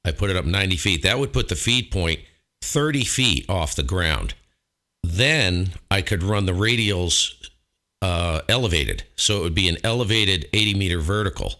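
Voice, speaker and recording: Low, bright-voiced man chatting on ham radio. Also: lively intonation, normal speed. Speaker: amateur radio operator. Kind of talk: casual ham radio chat.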